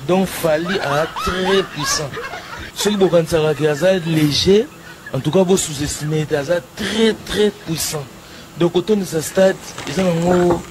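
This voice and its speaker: A man with a slightly high voice insists on his point again and again. The played clip carries plenty of noise behind him. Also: a little slow. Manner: insistent and repetitive.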